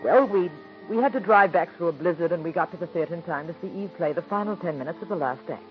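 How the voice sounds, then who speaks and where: A woman's voice: on the low side, expressive, quick pace, close to an everyday voice. An actress playing a married woman who narrates and confesses a petty trick against a star, in 1940s radio drama style. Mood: rueful. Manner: confiding, wry and self-reproaching in narration. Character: married woman, a theater star's friend, rueful and firm. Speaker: narrator and lead character. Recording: dull old radio broadcast with music.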